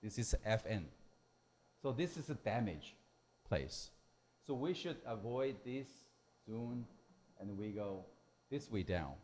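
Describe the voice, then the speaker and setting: A man who is a non-native English speaker giving a technical lecture in halting, simple phrases. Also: fairly low pitch, animated, a little mellow, slight background noise. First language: not English. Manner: halting, hesitant delivery with simple broken phrasing. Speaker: technical presenter at a symposium.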